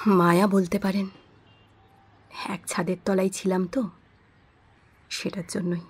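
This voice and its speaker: A woman's voice: medium pitch, flat delivery, measured pace, husky. A woman playing an anxious, guilt-ridden witness in an audio drama, pleading and defensive with feeling. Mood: subdued, guilty.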